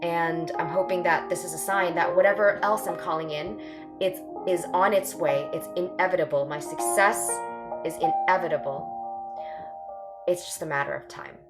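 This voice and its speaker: A woman's voice: lowish voice, steady tone, moderate pace, over loud music. A woman from Canada hosting an online sharing circle, upbeat and encouraging in a casual way. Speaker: circle host. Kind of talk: casual group welcome, video call.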